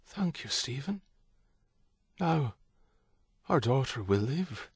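A man's voice: medium pitch, very animated, slow pace, creaky, husky, no echo, pitched above the performer's own voice, exaggerated voice. Man voicing a fierce, pleading young Irish mother. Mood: pleading, urgent. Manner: firm, insistent.

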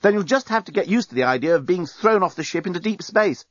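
Male reader as brash alien journalist, pushy, explaining urgently, lively and a bit husky, audiobook. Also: high-pitched, quick pace, no room sound, muffled recording, pitched above the performer's own voice. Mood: impatient explaining.

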